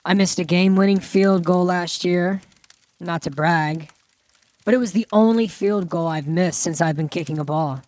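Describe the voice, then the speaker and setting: Audiobook drama role: nervous, modest teenage kicker, lowish voice, dry sound; female performer. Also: normal speed, darkish tone, dry recording, lightly acted. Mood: hesitant.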